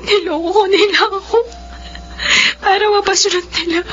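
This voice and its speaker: An actress playing a gentle young orphaned woman, pleading and tearful in a radio drama. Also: very high-pitched, fairly even intonation, moderate pace. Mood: sad. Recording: dull lo-fi recording with music.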